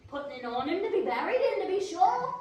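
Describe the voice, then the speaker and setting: A woman's voice: very high voice, sing-song, fairly quick, darkish tone. A woman playing a spiteful, thieving charwoman in a readers theater drama, scornful, recorded in a very echoey room. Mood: gloating. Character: gleeful, spiteful, thieving old London charwoman. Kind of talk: readers theater stage acting. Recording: very echoey room, distant mic, faint noise.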